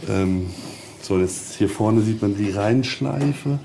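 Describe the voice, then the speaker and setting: A man with a low, mellow voice talks as a tour guide. He explains informally, step by step, pausing as he goes. There is faint location noise around him. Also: gravelly. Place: on location in an old castle tower.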